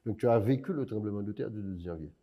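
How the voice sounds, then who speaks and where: A man's voice: on the low side, quick pace, dark tone. A man hosting an interview, asking questions and murmuring agreement as he listens attentively. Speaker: host.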